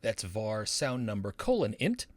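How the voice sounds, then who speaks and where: A man's voice: lowish voice, wildly swooping pitch, measured pace, dry recording. A man narrating a coding tutorial as an instructor, in an upbeat, encouraging, instructional manner. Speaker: programming instructor, university professor.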